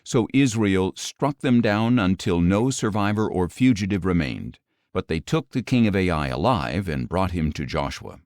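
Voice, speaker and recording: A man narrating scripture from a script in a measured, solemn manner. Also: low-pitched, darkish tone, dry recording, clean studio sound. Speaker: audiobook Bible narrator.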